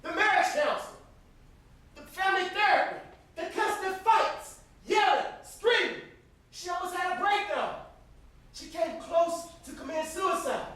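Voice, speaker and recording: A man's voice: very high-pitched, moderate pace, pushed and bright. A man acting an exasperated, indignant young brother defending his family in an amateur stage play. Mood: pained, pleading. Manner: indignant, pleading. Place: indoor theatre stage. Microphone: distant camera microphone. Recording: distant, very echoey stage recording.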